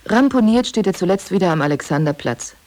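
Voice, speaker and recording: A woman's voice: fairly low pitch, expressive, brisk, a little mellow. A woman reading scripted narration for a radio feature. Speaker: narrator reading a book summary.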